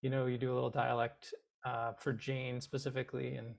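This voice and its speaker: A man's voice: medium pitch, little pitch movement, fairly quick, vocal fry. A man from the Boston area, a guest actor in a casual chat, hesitant and self-deprecating with a dry sense of humor. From Boston area, USA.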